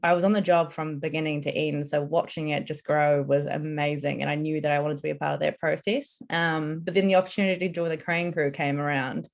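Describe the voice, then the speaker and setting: A woman's voice: sits low, level tone, fairly quick, gravelly, hardly any pauses. A young woman answering interview questions in a casual, earnest and enthusiastic way. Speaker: interviewee, construction apprentice.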